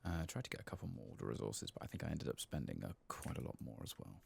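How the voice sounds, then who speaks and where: Low-pitched soft-spoken man with creaky voice. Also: big pitch swings, slight background noise. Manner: murmured, unhurried musing to himself. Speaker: gaming video host. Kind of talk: soft-spoken ASMR game commentary, sometimes whispered.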